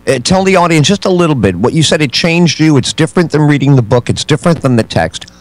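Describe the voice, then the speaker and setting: A man's voice: on the high side, lively intonation, fast, dry recording, low-quality recording. A man hosting a roundtable debate, prodding the guests with questions. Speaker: host. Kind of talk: moderating a debate.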